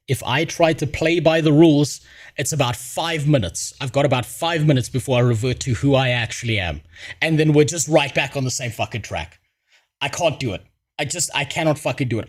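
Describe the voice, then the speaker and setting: A male streamer ranting in a blunt, swear-heavy way, rambling from one point to the next. His voice sits at an ordinary middle pitch. He talks like he's reacting live to whatever's on screen. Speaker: streamer host.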